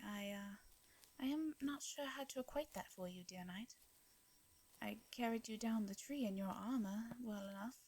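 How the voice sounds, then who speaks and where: A woman with an English accent playing a gentle, courteous vampire in an intimate fantasy audio drama, speaking softly and breathily in formal, hesitant phrases. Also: medium pitch. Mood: earnest, hesitant. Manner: soft breathy delivery, formal old-fashioned diction, hesitant pauses. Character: gentle, courteous female vampire, centuries-worn, wistful yet devious.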